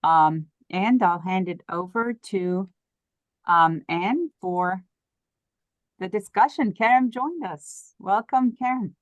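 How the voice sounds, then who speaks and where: A woman's voice: mid-range voice, takes it slowly, no room sound, muffled recording. A woman hosting an online event, giving semi-formal introductions of speakers. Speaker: event host introducing panelists.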